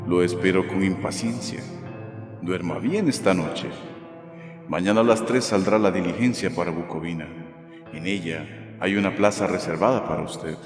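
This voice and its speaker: Guy doing sinister polite count for a radio play, low voice, big cavernous reverb, music behind. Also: close to an everyday voice. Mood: courteous welcome. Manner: formal, measured, courteous. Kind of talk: radio drama letter read aloud.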